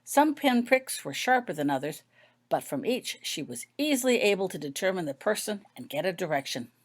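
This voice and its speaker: A woman's voice: lowish voice, big pitch swings, moderate pace. A woman reading her own story aloud as scripted audiobook narration.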